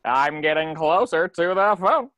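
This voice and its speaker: A man's voice: on the high side, lively intonation, unhurried, a bit bright. A man playing a snide, haughty squid cashier in a cartoon table reading, sarcastic and needling. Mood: smug, needling.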